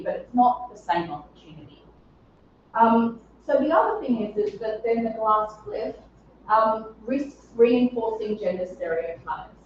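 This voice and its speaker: A middle-aged woman professor with a slightly scratchy voice giving an academic conference lecture, explaining research clearly with occasional ums. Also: mid-range voice, a little mellow. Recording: distant, echoey, dull-sounding room.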